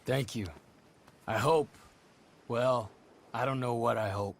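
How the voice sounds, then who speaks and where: A man voicing a calm, earnest young man who speaks in a measured, quiet, serious way. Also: medium pitch, creaky.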